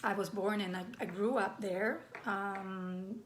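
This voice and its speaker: A woman in her forties from Brazil, with a Brazilian Portuguese accent, giving a live online talk as a playwright and often pausing on fillers and restarts. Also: mid-range voice, fairly even intonation, a little hiss or hum. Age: 40s. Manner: hesitant, many fillers and restarts. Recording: roomy echo.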